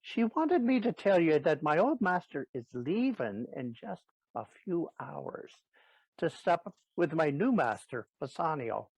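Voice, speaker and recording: A man's voice: on the high side, sing-song, unhurried, gravelly, breathy edge, no room sound, full character voice. A man playing a sentimental, cheeky servant clown in a Shakespearean audio play. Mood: eager. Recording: dull, dry lo-fi recording.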